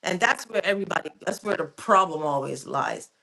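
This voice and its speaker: A woman's voice: fairly low pitch, expressive, a little fast, creaky, pushed and bright, low-quality recording. Emphatic Jamaican-born woman co-host. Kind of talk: casual radio talk.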